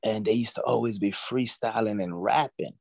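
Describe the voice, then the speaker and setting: A man in his forties from Jamaica, raised in Brooklyn, chatting as an interview guest, relaxed and full of "you know.". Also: on the low side, normal speed, creaky, no echo, clean studio sound. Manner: relaxed, repeats himself, many "you know"s. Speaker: guest musician. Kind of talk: casual interview talk.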